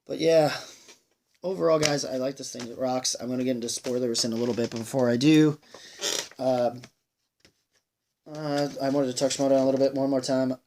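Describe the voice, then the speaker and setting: A man giving a casual, unscripted movie review, rambling and halting, repeating himself emphatically and sounding a bit tired. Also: mid-range voice, steady tone, dark tone, a little hiss or hum. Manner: sweary, emphatic. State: tired, sighing.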